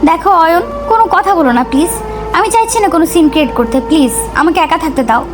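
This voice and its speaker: A woman's voice: extremely high pitch, lively intonation, fast, over music. A woman voicing a troubled, irritable young wife, curt and exasperated, in a romantic audio story. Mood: withdrawn, irritated.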